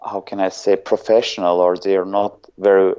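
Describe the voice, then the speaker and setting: Deep-voiced man with an Azerbaijani accent. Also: little pitch movement, normal speed, mellow, clean tone, lo-fi sound. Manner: hesitant, often searching for words. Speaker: guest. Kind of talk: casual interview chat.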